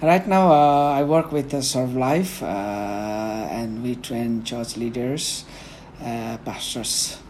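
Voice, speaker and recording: Soft-spoken Nepali-accented man. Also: medium pitch, slow pace, a little hiss or hum. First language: Newari. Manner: soft-spoken, hesitant with fillers. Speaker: guest reader. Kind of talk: conversational interview, then Bible reading.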